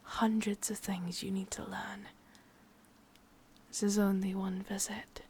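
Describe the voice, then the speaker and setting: A woman voicing a sadistic, possessive witch lover in a breathy, husky whisper with an English accent, intimate and teasing, for an ASMR roleplay. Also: medium pitch, level tone, takes it slowly, a little mellow, slight background noise, lightly acted. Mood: gloating, sadistic.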